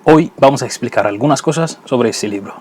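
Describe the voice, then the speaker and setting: A man who is not a native Spanish speaker, hosting and narrating a scripted religious lesson in a measured, didactic way. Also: medium pitch, very noisy. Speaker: host.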